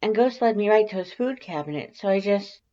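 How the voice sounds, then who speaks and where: A narrator voicing a timid, awkward female monster scientist with a nervous, halting stammer in a story reading. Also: mid-range voice, expressive, dark tone, dull audio, voice pushed up, close to an everyday voice. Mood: flustered.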